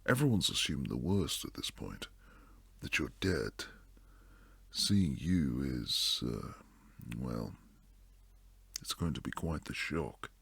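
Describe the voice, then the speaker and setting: A man playing a protective, devoted bodyguard and secret lover in an intimate boyfriend roleplay, low and husky, speaking earnestly close to the mic. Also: sing-song, takes it slowly, long pauses, fairly bright tone, no echo, exaggerated voice. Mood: hesitant, sombre. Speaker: audio roleplay performer. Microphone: close, dry studio mic.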